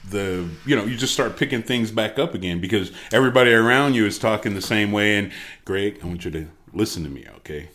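A man co-hosting a casual podcast chat, playful and mock-serious, thinking out loud. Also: on the low side, fairly quick. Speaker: podcast co-host.

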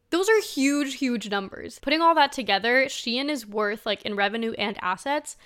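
A woman's voice: on the high side, sharp tone, clear, resonant tone. A woman in her twenties from Spain giving casual, conversational commentary as a host, chatty and informal, leaning on key words for emphasis. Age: 20s. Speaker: host, sustainable fashion commentator.

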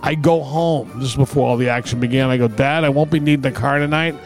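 A middle-aged man, telling stories casually on a podcast in a blunt, profane, animated way. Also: mid-range voice, fast, faint music behind. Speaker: podcast co-host. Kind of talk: casual comic storytelling among friends.

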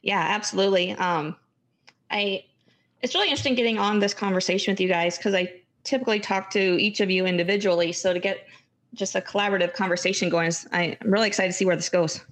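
A woman running coach speaks as a podcast guest, upbeat and quick. She talks with easy energy in a casual conversation, in a mid-range voice. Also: medium pitch, fairly bright tone.

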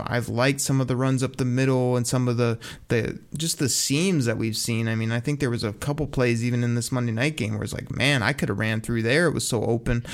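A man with an ordinary mid-range voice co-hosts a casual sports chat. He talks in a loose, opinionated way and often pauses on fillers. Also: mid-range voice. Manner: relaxed, opinionated, many fillers. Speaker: podcast co-host.

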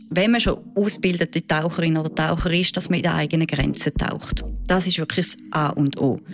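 A woman with a Swiss German accent, a diving instructor who answers interview questions in a lively, chatty way with frequent fillers. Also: lowish voice. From Switzerland.

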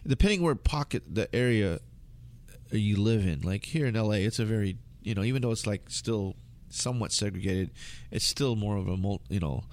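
Casual male guest, American, slightly low voice, loose and slangy, talks in meandering runs. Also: expressive, normal speed, darkish tone. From United States. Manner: rambling, slangy. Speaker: interview guest. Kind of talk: casual conversation.

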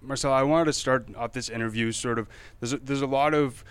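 Young male sports podcast host, smooth clear voice, chatty and rambling. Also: mid-range voice, fairly even intonation, quick pace. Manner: rambling questions with many fillers and restarts. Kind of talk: casual interview chat.

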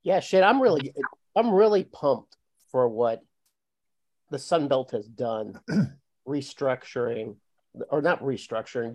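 A man hosting a podcast in a casual, relaxed way, laughing along and swearing lightly. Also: mid-range voice. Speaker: podcast host.

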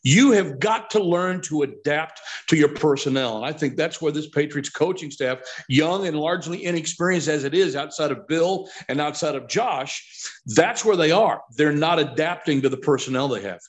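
A man's voice: on the high side, animated, normal speed. A middle-aged man talking as a sports pundit on a podcast, emphatic and opinionated, often posing rhetorical questions.

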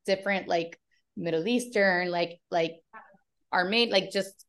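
A woman with a Cuban-American accent, a comedy podcast guest chatting casually, laughing often and talking in quick bursts. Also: on the low side, fairly bright tone. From Cuban background. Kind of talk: casual chat.

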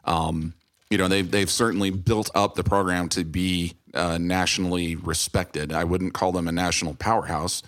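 Deep-voiced American man hosting casually. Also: steady tone, moderate pace, a bit bright. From Utah, USA. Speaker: podcast host. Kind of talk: casual interview chat.

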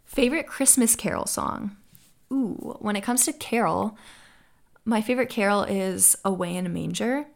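A woman's voice: medium pitch, moderate pace, gravelly. A young American woman hosting her own podcast, answering listener questions in an upbeat, earnest way. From United States. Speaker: podcast host. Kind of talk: solo podcast Q&A.

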